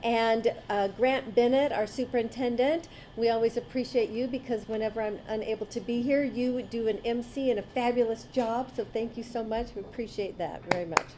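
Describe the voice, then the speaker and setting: A woman's voice: fairly high pitch, fairly even intonation, a little fast, few pauses, warm tone, slight background noise. Gracious woman emceeing an awards breakfast. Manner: gracious, thanking guests one by one. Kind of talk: ceremony announcements.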